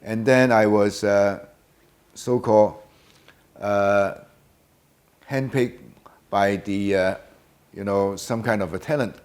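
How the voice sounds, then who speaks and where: An older man in a very deep voice. He answers unhurriedly and hesitantly, filling his pauses with many "uh"s. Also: fairly even intonation, darkish tone. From Hong Kong. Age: about 70. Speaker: guest. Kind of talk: casual interview answers.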